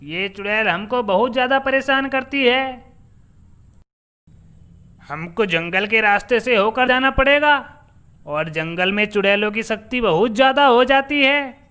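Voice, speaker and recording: A man voicing a clever, earnest male villager who pleads and persuades in an animated folk horror story. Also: high voice, unhurried, clean tone, heavily acted. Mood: worried, earnest.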